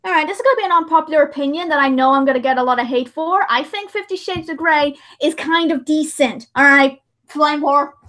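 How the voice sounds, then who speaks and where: A woman hosting a casual livestream, chatting in an expressive, exclamatory and easily distracted way. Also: high voice, fairly quick, few pauses. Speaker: livestream host editing videos.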